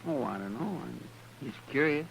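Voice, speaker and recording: A loyal, simple frontier deputy with a gravelly rural American drawl. He is eager to please and rambles in a folksy, halting way. It has the faint noise of a 1950s radio western. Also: medium pitch, wildly swooping pitch, fairly bright tone. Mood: eager, earnest. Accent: American rural Southern or Western drawl. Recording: noisy old radio recording.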